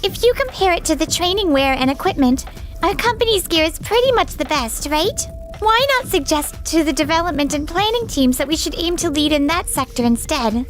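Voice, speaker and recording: Casting: woman as polite young manga businesswoman, extremely high voice, earnest and frank, music underneath. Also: lively intonation, fairly quick. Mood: doubtful, reasoning. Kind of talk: manga dub voice acting.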